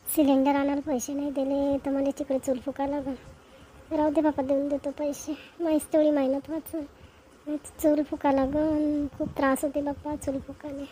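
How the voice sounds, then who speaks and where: A woman's voice: sits high, level tone, normal speed, warm tone, slight background noise, lightly acted, low-quality recording. A woman playing a practical, nagging village wife and mother in a cartoon comedy, with a Vidarbha Marathi accent. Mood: grudging.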